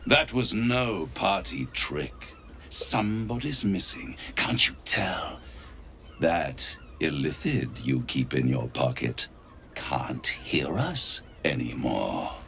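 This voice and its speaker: A man playing a smug, menacing devil dealmaker in a video game, theatrical and taunting, savouring words with dramatic pauses. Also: very deep voice, very animated, vocal fry, pushed and bright, a little hiss or hum, full character voice. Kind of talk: video game character acting.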